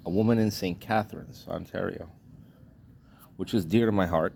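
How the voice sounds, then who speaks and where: A man's voice: sits low, fairly even intonation, normal speed, vocal fry, a little mellow, a little hiss or hum. A man from Trinidad and Tobago delivering a blunt, indignant vlog rant in short clipped sentences, swearing freely. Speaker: vlogger. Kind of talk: ranting monologue.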